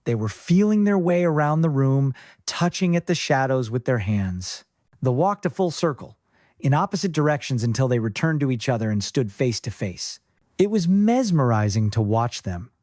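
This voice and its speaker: A man reading scripted fiction as an audiobook narrator, in a measured storytelling manner. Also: fairly high pitch, a little mellow, no room sound, clean studio sound.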